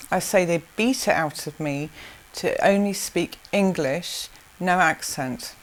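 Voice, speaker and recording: A woman from South Africa, answering interview questions in a rambling way with many restarts and soft laughs. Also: lowish voice, poor audio. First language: Zulu.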